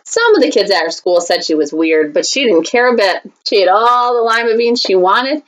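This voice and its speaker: Lady teacher reading a kids story, expressive, mid pitch voice, chats to the little listeners. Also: moderate pace, poor audio. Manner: expressive, addressing children directly. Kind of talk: children's picture book read-aloud.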